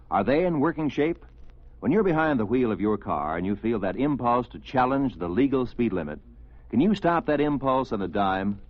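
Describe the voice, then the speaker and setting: Casting: American man for old-time radio announcement, animated intonation, earnest instructive read, dry studio sound. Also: mid-range voice, no room sound, highs cut off. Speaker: public service announcer. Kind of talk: scripted mid-century radio safety message.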